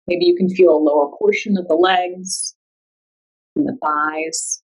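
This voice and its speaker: A woman guiding a meditation in a calm, soothing, unhurried way, leaving long pauses between instructions. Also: fairly low pitch, low-quality recording. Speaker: yoga nidra meditation guide. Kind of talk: guided meditation.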